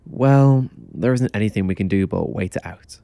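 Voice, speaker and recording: A man's voice: lowish voice, moderate pace, vocal fry, warm tone, clean tone, no echo. A man playing a laid-back young motel clerk in an audio drama, offhand but kindly. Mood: helpful.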